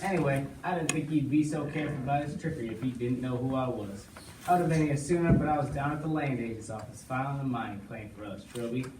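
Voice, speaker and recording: An easygoing young cowboy played by a man in a Western stage play, folksy and plucky. His voice is heard from a distance in an echoey room with clear background noise. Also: mid-range voice, fast, natural voice. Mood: confident. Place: small indoor stage, recorded from audience.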